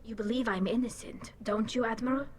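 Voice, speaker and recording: A woman's voice: fairly high pitch, fairly quick, close to an everyday voice. An actress voicing a young female alien engineer in a video game, earnest, emphatic and defensive. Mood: anxious, defensive. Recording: clear background noise.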